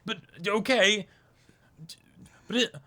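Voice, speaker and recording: Bright-voiced man in casual chat. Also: high-pitched, fairly even intonation, takes it slowly. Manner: frequent agreeing interjections. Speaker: podcast co-host.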